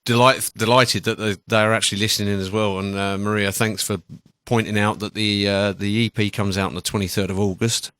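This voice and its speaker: Low-voiced man hosting casual radio. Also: level tone, a little fast, fairly bright tone, smooth, no echo. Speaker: radio show host. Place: radio studio.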